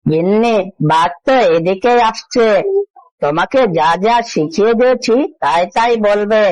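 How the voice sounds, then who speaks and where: A woman's voice: mid-range voice, lively intonation, a little slow, darkish tone, no room sound, lightly acted, low-quality recording. A woman voicing a cunning, confident father fox who reassures his family in a cartoon fairy tale. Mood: urgent.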